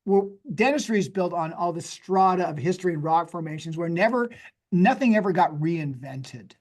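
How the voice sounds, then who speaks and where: A man with a slightly raspy, talk-worn voice, explaining technical matters conversationally as a guest expert, in an emphatic, folksy way with rhetorical questions. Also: high voice, expressive, normal speed. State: voice worn from days of talking. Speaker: guest expert, dentist and inventor.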